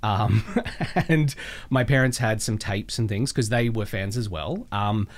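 An Australian man chatting casually as an interview guest, telling personal anecdotes in an animated, chatty way. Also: fairly low pitch, measured pace. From Melbourne, Australia.

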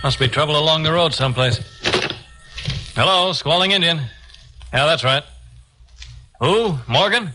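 An eager, higher-voiced gee-whiz fellow. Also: male voice, measured pace, pauses often. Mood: puzzled, excited. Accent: American. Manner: excitable, questioning, gee-whiz earnest. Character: eager, anxious, folksy married man, deferential helper. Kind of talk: 1950s radio acting. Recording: noisy, clicking old radio recording.

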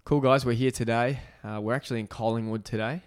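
A man hosting a casual interview, chatty and relaxed with frequent fillers. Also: mid-range voice, fast, clear, resonant tone, dry recording, soft background music. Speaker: podcast host.

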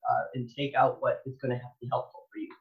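Muffled lo-fi recording, American woman, middle-aged, earnest, emphatic, halting conversational delivery, enthusiastic. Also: rumbling low, monotone. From Texas, United States. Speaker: elementary school librarian, interview guest.

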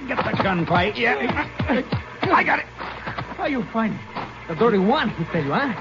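A man's voice: sits high, very animated, normal speed, gravelly, loud music behind the voice, full character voice. An actor playing a drunk fugitive man with a Mexican accent who talks in slurred, broken English, in a dull 1950s radio broadcast. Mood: panicked.

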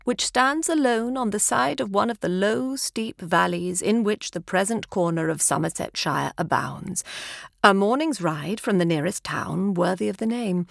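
A woman's voice: medium pitch, creaky, dry recording, studio quality. A woman with an English accent narrating a literary story in an arch, formal, theatrical storyteller's manner. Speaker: audiobook narrator.

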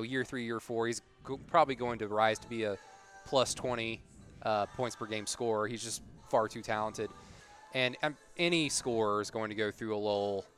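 A man giving measured, analytical commentary in a casual sports discussion as a podcast panelist. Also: medium pitch, a bit bright.

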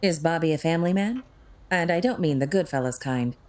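A woman's voice: sits low, expressive, darkish tone. A woman playing a witty, sarcastic crime-fighting tech expert in an audio drama, with a wry manner. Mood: wry, teasing. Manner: wry, sarcastic, quick-witted.

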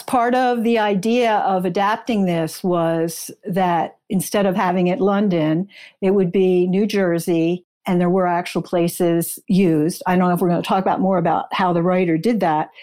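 A woman's voice: lowish voice, fairly even intonation, normal speed, mellow. A woman speaking as an interview guest, an author who explains her research in an informative, detailed way.

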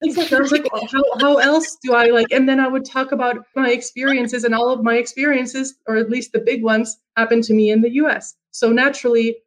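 A woman's voice: on the high side, fairly even intonation, brisk, hardly any pauses, slight background noise, low-quality recording. A woman from Finland with an American accent, whose first language is Finnish, talking as a guest in a casual conversation and rambling with frequent restarts and fillers.

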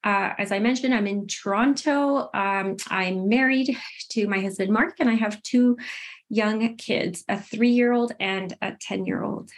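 Woman from Chile, mid-pitched, measured and friendly, video call. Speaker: guest, DEI consultant. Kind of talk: panel introduction.

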